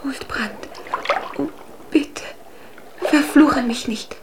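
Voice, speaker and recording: A woman's voice: high-pitched, drawn-out words, noisy background, full character voice. A woman playing a whispering, devoted water spirit. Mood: tender. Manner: soft, breathy whispering. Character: tender water spirit Undine, devoted and pleading. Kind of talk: radio drama acting.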